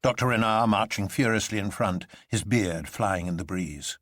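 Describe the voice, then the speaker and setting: A man's voice: lowish voice, moderate pace, fairly bright tone, no echo, studio quality. A man narrating an adventure story in a scripted, dramatic reading style.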